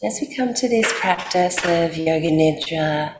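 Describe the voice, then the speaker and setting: A woman with an Australian accent guides a sleep meditation in a soothing, unhurried way, leaving long pauses. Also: low voice. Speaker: yoga nidra guide. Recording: roomy echo, faint background noise.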